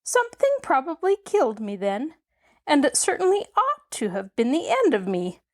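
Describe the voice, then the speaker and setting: A woman voicing a squeaky, fretful flying gump creature in an audiobook. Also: huge pitch swings, normal speed, darkish tone. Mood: plaintive. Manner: high and plaintive. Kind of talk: audiobook character voice.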